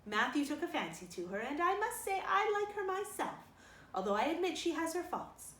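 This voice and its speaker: A woman's voice: high-pitched, sing-song, normal speed, fairly bright tone, roomy sound, a little hiss or hum, voice pushed up. Dry, resolute farm woman, read by a woman. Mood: candid, firm. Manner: dry, matter-of-fact. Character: dry, resolute, plain-spoken middle-aged farm woman.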